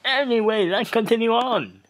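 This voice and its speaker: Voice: medium pitch, normal speed, poor audio. A let's play host commenting on a game and reading its text aloud in a theatrical, stumbling way.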